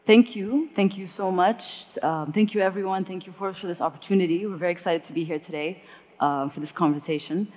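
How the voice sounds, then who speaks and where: A woman's voice: lowish voice, brisk, darkish tone. A woman from eastern Saudi Arabia speaking on a conference panel, chatty and full of fillers. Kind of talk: panel discussion. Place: conference hall. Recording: hall reverb.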